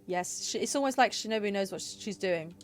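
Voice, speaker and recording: A woman's voice: medium pitch, a little fast, faint music behind. Chatty giggly woman streaming a game. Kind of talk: casual gameplay commentary.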